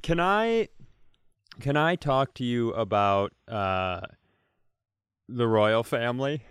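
A man's voice: medium pitch, lively intonation, takes it slowly, fairly bright tone, smooth, no room sound, clean studio sound. An American man co-hosting a comedy podcast, bantering casually in a rambling, playful way full of rhetorical questions.

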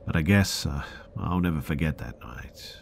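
Man with a super deep voice reading spooky true stories, kinda hushed, faint hum behind. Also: animated, moderate pace, gravelly. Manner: hushed, slipping into whispers at tense moments. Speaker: podcast narrator. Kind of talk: first-person scary story narration.

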